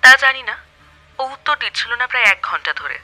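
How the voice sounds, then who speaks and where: An assassin's commanding handler in an audio thriller. She is forceful, high and urgent, with a very sharp edge over background music. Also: big pitch swings, fast, exaggerated voice. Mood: tense, urgent. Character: commanding female boss of a sniper, urgent, alarmed.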